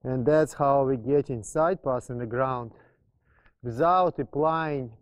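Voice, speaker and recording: A man's voice: mid-range voice, takes it slowly. A man who speaks English as a second language, giving an instructional explanation as a horsemanship trainer, repeating key terms for emphasis. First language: not English. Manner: emphatic repetition of key terms, non-native grammar.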